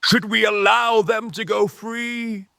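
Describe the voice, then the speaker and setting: A man voicing a menacing, mocking rebel mage leader in an audio drama, with an oratorical, drawn-out, taunting delivery. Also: high-pitched, flat delivery, vocal fry, sharp tone, dry recording, clean studio sound, pitched above the performer's own voice, exaggerated voice. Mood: rousing, scornful.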